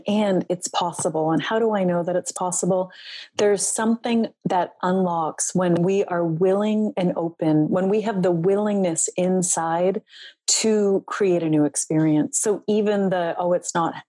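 A woman's voice: on the low side, moderate pace, no room sound, low-quality recording. A middle-aged woman speaking earnestly and reflectively as a guest on a podcast, sharing her healing as a relationship coach. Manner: earnest, reflective, soft-spoken. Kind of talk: heartfelt podcast interview.

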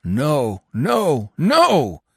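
A man's voice: sits high, wildly swooping pitch, drawn-out words, a little mellow, husky, no room sound, studio quality, voice pushed up, full character voice. A man voicing a fanatically devoted male dog in a storybook, yelping and howling in a frenzy. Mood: raging refusal.

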